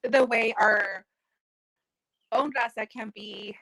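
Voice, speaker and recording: A woman's voice: a little high, fairly even intonation, slow pace, bright, forceful tone, dry recording, low-quality recording. A woman from South Texas speaking earnestly on a video-call panel, reflecting on leaving home and returning. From Rio Grande Valley, South Texas. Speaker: panelist poet. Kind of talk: casual panel discussion. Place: home room.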